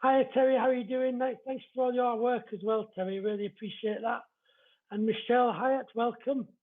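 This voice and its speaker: High-voiced Yorkshire man, welcoming and chatty. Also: steady tone, a little fast. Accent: East Yorkshire. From East Yorkshire, England. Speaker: spiritual medium hosting a live show. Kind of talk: casual livestream chat greeting viewers. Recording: dull, lo-fi livestream audio.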